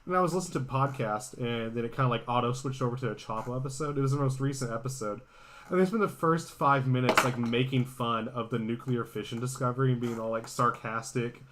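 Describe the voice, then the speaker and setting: A man's voice: mid-range voice, fast talker, fairly bright tone, slight background noise. A man co-hosting a casual podcast, rambling and restarting his sentences as he rants. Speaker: podcast co-host. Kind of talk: casual chat.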